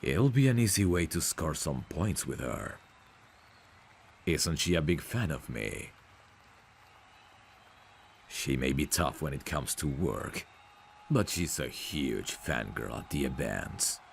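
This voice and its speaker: A man's voice: rumbling low, very animated, slow pace, vocal fry, long pauses, a little hiss or hum, exaggerated voice. A man playing a cocky, smug prizefighter in an ASMR roleplay audio drama, with a boastful, teasing, self-assured manner. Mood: smooth, teasing. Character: cocky, smug male prizefighter, proud of his looks. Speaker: voice actor.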